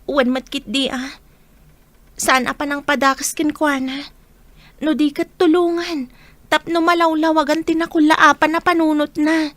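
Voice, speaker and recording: A woman's voice: high voice, steady tone, unhurried, fairly bright tone, no echo. A woman playing an earnest, concerned young student who pleads sincerely in an amateur audio drama.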